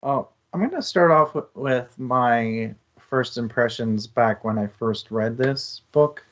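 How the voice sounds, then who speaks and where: A man's voice: mid-range voice, takes it slowly. A man co-hosting a casual book discussion, speaking in an earnest, thoughtful and reflective way. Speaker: co-host of a book discussion show. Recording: slightly dull, lo-fi sound.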